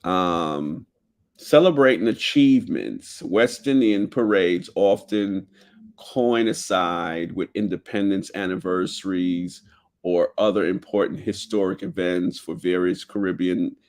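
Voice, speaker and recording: A man hosting a solo talk show, reading from notes slowly and haltingly, with fillers and self-corrections. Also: low-pitched, darkish tone. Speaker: podcast host.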